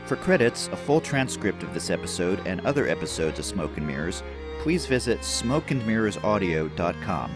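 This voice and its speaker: A man's voice: deep voice, sing-song, moderate pace. A man reading a scripted announcement as an audio program's announcer. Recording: music bed behind voice.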